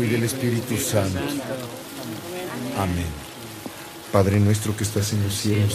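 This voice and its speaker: Slow, deep-voiced Mexican bishop. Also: male voice, rough recording. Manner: solemn, deliberate, pastoral. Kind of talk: Spanish-language radio drama acting.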